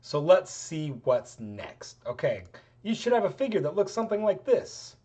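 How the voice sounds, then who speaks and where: A man's voice: high voice, big pitch swings, normal speed, warm tone. A middle-aged man teaching a chemistry lab tutorial in a casual, rambling way, his voice worn out. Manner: casual, rambling, self-deprecating. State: voice getting worn out. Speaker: chemistry professor. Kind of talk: screen-recorded lab tutorial.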